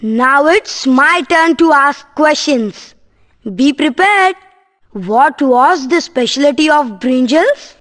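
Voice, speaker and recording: Slow, high-voiced woman quizzing kids. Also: animated, fairly bright tone. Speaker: children's show co-presenter.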